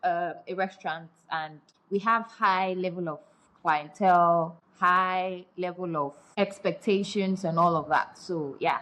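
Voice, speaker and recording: A woman's voice: lowish voice, a bit bright, poor audio. A young woman from Nigeria and Ghana, a podcast guest talking in a laid-back, wry way. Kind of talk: casual chat.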